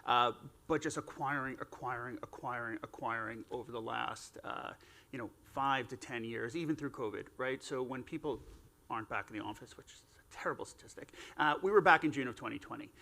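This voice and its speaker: A man with a gravelly, fry-tinged voice talks on a conference stage as a panel guest. He keeps it relaxed and conversational, filling gaps with "uh"s and slipping in self-deprecating asides. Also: mid-range voice, brisk. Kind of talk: casual panel talk.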